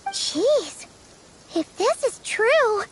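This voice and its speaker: A woman voicing an excitable, brave little girl in a video game, with perky, exclamatory, slangy delivery. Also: very high-pitched, drawn-out words, slight background noise, exaggerated voice, rough recording. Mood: startled. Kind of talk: animated game character acting.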